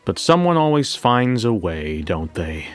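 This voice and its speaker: An actor playing a wry, reflective bank coordinator who tells his story in the first person, in a measured, conversational way. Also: fairly low pitch, big pitch swings. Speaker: narrator. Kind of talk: first-person scripted audio fiction narration. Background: music.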